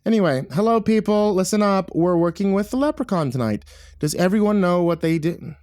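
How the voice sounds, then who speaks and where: A man's voice: a little high, big pitch swings, brisk, pitched above the performer's own voice. A man voicing a demanding, officious woman who works as an assistant director, with stiff and exasperated phrasing in an audiobook. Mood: brisk, bossy. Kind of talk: audiobook character voice.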